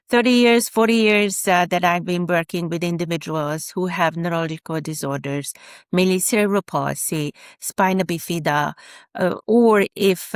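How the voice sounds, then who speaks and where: Slow, smooth-voiced Hungarian-accented woman. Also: lowish voice, no room sound, clean studio sound. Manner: hesitant, many fillers and restarts. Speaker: guest, special educator. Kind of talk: podcast interview.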